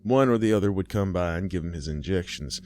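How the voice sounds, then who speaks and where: A man hosting and narrating a horror story, folksy and unhurried, who talks straight to the listener. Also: sits low, a little mellow, a little hiss or hum.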